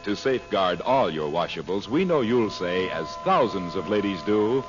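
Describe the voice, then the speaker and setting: A man's voice: low voice, animated, moderate pace. A man announcing and narrating in a formal, emphatic old-time radio style. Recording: muffled old radio recording. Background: loud music.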